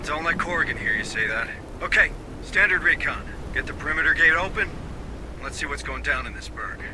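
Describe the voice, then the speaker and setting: A man acting as a stern, wary squad commander in a sci-fi video game. His voice sits a little high and he gives clipped, curt orders. Engine-like ambient noise runs clearly behind him. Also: expressive, a little fast, close to an everyday voice. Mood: brisk, commanding.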